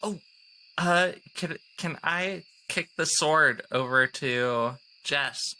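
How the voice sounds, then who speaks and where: A man plays a tabletop game in casual, improvised chat. His voice sits fairly high for a man, bright and punchy, though he takes his time. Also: slow, low-quality recording.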